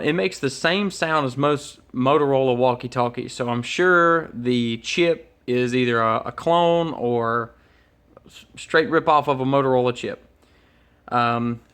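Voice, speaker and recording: A man's voice: mid-range voice, a bit bright. A man giving casual, unscripted unboxing commentary as host, hesitant and thinking aloud as he goes. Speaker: host of an electronics unboxing channel. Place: indoors at a workbench.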